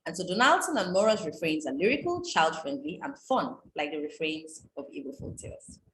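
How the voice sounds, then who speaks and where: A woman from Nigeria, reading a prepared talk on folktales in a measured, explanatory way. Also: lowish voice, very animated, fairly bright tone. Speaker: writer presenting on folktales. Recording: echoey room with background noise.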